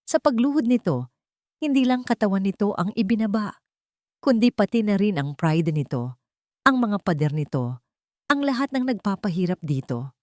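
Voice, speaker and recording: A woman with a Filipino accent narrating a scripted dramatic story in an expressive, sentimental way. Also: medium pitch, normal speed, long pauses, no echo, studio quality. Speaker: audiobook narrator.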